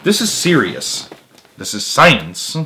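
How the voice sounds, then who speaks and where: A man's voice: mid-range voice, huge pitch swings, takes it slowly, bright, forceful tone, exaggerated voice. A man voicing a bossy, matter-of-fact female animal friend from a storybook, emphatic and chiding. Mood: earnest.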